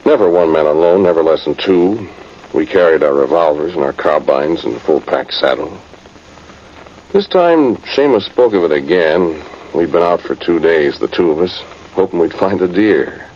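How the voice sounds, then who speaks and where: An actor playing a plain-spoken, weary cavalry trumpeter in a radio drama, with a folksy, reflective, hesitant way of talking. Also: male voice, low voice, lively intonation, moderate pace, a little mellow. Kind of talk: first-person radio drama narration. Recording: muffled, noisy vintage radio recording.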